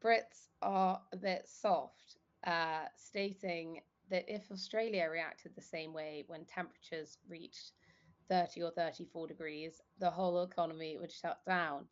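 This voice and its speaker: Low-voiced woman hosting news, slightly halting. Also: steady tone, lo-fi sound. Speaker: news show host. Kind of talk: informal live news presenting and interviewing.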